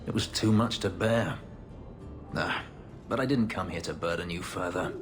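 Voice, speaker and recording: A man's voice: deep voice, moderate pace. An actor playing a bitter elven male ex-slave warrior in a video game, grave and clipped. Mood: remorseful. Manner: grave, clipped, controlled intensity. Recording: game audio with reverb and music.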